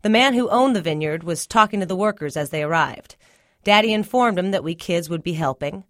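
A woman's voice: fairly low pitch, lively intonation, brisk, fairly bright tone, no room sound, studio quality. A woman reading a first-person literary short story aloud as scripted narration. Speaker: story reader.

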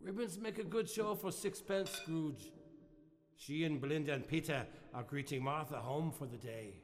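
A man playing a huge, jolly male Christmas spirit with a booming, hearty voice in a radio play. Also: normal speed, a little hiss or hum, natural voice. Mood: gentle. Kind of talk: radio drama acting. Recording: radio play with music underneath.

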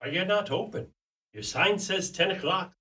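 Man as a blustering, bright-voiced Irish schemer. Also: high-pitched, moderate pace, slightly rough, heavily acted. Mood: impatient. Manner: wheedling, bombastic. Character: scheming, blustering Irishman after his uncle's pension. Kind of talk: amateur radio play acting.

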